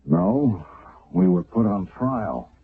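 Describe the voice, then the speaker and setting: Tense weary sergeant, husky, lively pitch, tinny old radio sound. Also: male voice, slow, heavily acted. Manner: tense, urgent, reporting. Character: weary, earnest army sergeant back from battle. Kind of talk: 1970s radio drama acting.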